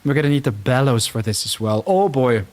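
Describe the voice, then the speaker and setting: A man's voice: mid-range voice, lively intonation, a little fast, a little mellow. A man from the Netherlands with a Dutch-Flemish accent, chatting casually as he streams a game live, reacting to the action and reading viewer comments aloud.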